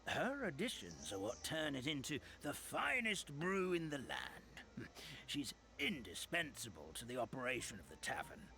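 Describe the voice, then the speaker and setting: A man's voice: mid-range voice, wildly swooping pitch, a little slow, sharp tone, exaggerated voice. An actor playing a fretful, kindly elderly male creature who runs a tavern in a game, with theatrical exclamations. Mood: warm. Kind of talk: game character dialogue.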